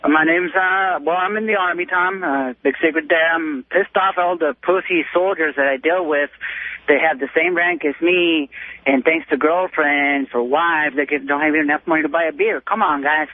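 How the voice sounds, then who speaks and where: A man's voice: a little high, moderate pace, no room sound. A man calling a radio show to give his blunt opinion in casual speech. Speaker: radio show caller, soldier. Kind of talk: casual opinionated phone-in talk. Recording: tinny phone line.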